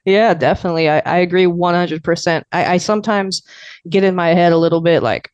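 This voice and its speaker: A man in his twenties from Arizona, a guest producer and emcee with a fairly high voice, chatting casually and gratefully on a podcast. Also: fairly even intonation, brisk, smooth, dry recording, highs cut off. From Arizona, USA. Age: 20s. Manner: laid-back, rambling, grateful.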